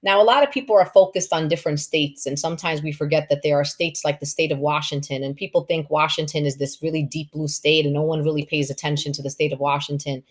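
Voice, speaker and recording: A woman's voice: deep voice, steady tone, quick pace, hardly any pauses. A woman hosting a political event, speaking off the cuff in an impassioned, emphatic way and repeating words to press her point. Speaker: host and moderator introducing panelists.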